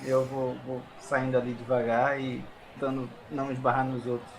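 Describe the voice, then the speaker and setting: A man's voice: medium pitch, completely flat delivery, normal speed, rough recording. A Brazilian man playing a tabletop RPG, improvising his character's actions and lines in casual speech. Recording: faint background noise.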